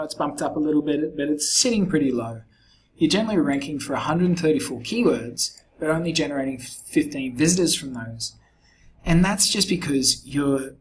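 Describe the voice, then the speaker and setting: A man with a croaky, hoarse voice talking a client through a website review and sales pitch in a relaxed, conversational way. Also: slight background noise, rough recording. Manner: conversational, explanatory, frequent fillers and restarts. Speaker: SEO agency founder pitching to a client.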